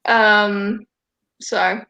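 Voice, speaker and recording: A woman's voice: mid-range voice, fairly even intonation, drawn-out words, bright, forceful tone, echoey room, dull audio. A young woman hosting a casual video call chat, talking at length with many fillers and restarts. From Denmark. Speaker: host.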